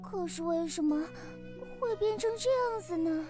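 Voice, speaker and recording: A woman's voice: very high voice, animated, moderate pace. A woman voicing a cheerful, teasing teenage girl in a visual novel, sweet and playful. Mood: teasing, mock-dramatic. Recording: music playing behind the voice.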